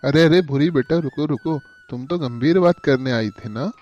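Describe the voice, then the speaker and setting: A man's voice: a little high, normal speed, a little mellow, dull audio, soft background music, lightly acted. A man voicing a timid, henpecked elderly owl uncle in a children's cartoon, avuncular and fretful. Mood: concerned. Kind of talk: Hindi cartoon voice acting for children.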